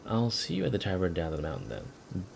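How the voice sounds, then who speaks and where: A man voicing a cheerful, bossy old man's letter in a video game, in a brisk and breezy manner. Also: sits low, huge pitch swings, creaky, slight background noise, heavily acted. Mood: breezy, pleased.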